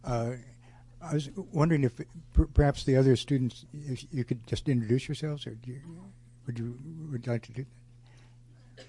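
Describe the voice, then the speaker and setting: A man hosting a community event who makes halting announcements full of fillers. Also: mid-range voice, fairly even intonation, mellow, slight background noise. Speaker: event host.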